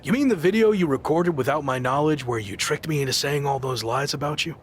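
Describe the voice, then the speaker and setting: An actor playing an earnest, principled underdog wrestler, firm and serious, in a video game cutscene. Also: male voice, on the high side, fairly quick, a little hiss or hum, lightly acted. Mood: defiant.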